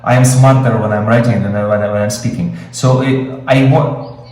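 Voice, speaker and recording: A man's voice: mid-range voice, fairly even intonation, normal speed, darkish tone, very echoey, distant mic, rough recording. A man with a Russian accent, Russian his first language, teaching screenwriting in an improvised webinar, explaining with many fillers and restarts. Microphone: video call.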